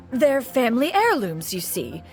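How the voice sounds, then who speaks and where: A woman voicing a proud ancient crane spirit in a video game, speaking in a stately, archaic and haughty way. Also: a little high. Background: game music.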